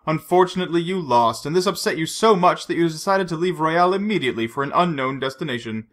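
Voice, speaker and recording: A man reading a storybook, voicing a suave, menacing male villain who mocks his captive with unhurried threats. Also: fairly high pitch, lively intonation, fairly bright tone, pitched above the performer's own voice. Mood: mocking. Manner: silky, mocking, unhurried threats.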